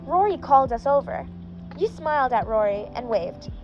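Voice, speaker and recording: A woman's voice: high-pitched, animated, normal speed. A woman reading a fanfic story aloud, doing every part in her own voice. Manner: reads all parts in her own voice. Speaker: fanfic reader. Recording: slightly muffled, faint music behind.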